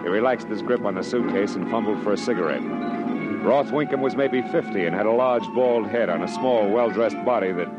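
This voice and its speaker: An actor playing a tough, wry, streetwise private detective in clipped, wisecracking 1940s radio style. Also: male voice, lowish voice, brisk, natural voice. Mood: wry narration. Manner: clipped, wisecracking, hard-boiled. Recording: muffled old radio recording with music.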